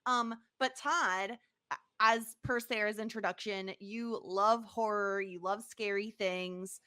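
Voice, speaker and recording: A woman's voice: mid-range voice, steady tone, takes it slowly, sharp tone, clean tone, no echo. A woman hosting a casual pop-culture podcast, speaking in a chatty, informal way. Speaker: podcast host.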